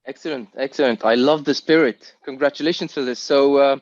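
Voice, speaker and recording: A male interviewer asks questions at a middle pitch, hesitant and full of fillers, and praises the answers. His voice sounds dry and a little dull, with no room sound. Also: steady tone, brisk, dry recording.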